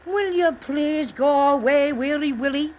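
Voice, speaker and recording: A woman's voice: sits high, unhurried, soft background music. A performer, a woman, playing a small, plucky, protective toy bear in a 1930s children's radio serial. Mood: indignant, scornful. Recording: muffled 1930s radio recording.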